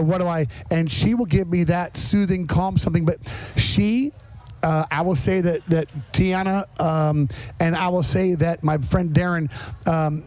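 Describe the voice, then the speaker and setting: A man hosting a podcast in candid, conversational talk, his phrases broken up by pauses. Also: high voice, darkish tone. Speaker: podcast host.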